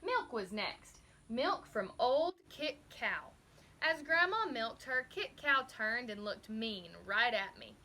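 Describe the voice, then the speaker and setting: Woman doing a kids book read-aloud, slow and expressive, highish bright voice, bit of background noise. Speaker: storybook reader.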